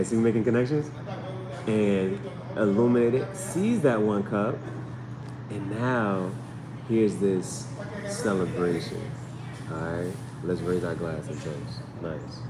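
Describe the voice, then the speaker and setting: Man, low voice, casual tarot reader, slangy, repeats phrases, loud background noise. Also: slow. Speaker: tarot reader, channel host. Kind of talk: casual live tarot reading, thinking aloud.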